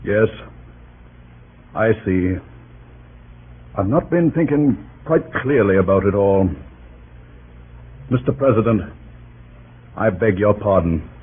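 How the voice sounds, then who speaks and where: A man's voice: fairly low pitch, expressive, slow pace, pauses often, husky, full character voice. An actor playing a cautious, respectfully dissenting cabinet statesman, speaking formally and with measure in an old-time radio drama. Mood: contrite. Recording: noisy, narrow-band vintage radio broadcast.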